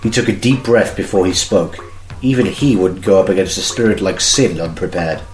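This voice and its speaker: A man's voice: sits low, moderate pace. A man reading scripted narration as the narrator of an amateur audio drama. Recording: roomy, echoing home recording.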